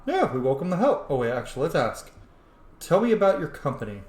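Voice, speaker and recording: A man's voice: on the high side, big pitch swings, fast talker, darkish tone. A man giving casual let's play commentary while roleplaying a video game, rambling and thinking aloud. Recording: roomy echo, faint background noise. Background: video game ambience.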